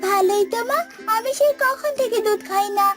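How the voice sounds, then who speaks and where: A woman's voice: very high-pitched, moderate pace, over music. A woman voicing a jealous, sulky young calf in a children's cartoon, whiny, accusing and sarcastic.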